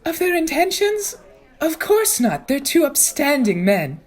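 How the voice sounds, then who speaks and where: Casting: woman to play airy mature romantic for radio play, fairly high voice with swooping melody, husky. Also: sing-song, measured pace. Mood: untroubled. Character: dreamy, smitten, twice-married mature island woman.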